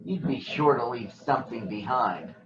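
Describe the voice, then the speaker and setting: A man's voice: mid-range voice, animated, normal speed, fairly bright tone, natural voice. Man as a taunting storybook engine, roomy echo. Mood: scolding. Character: teasing male railway engines, scolding and mocking. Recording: roomy echo, dull sound.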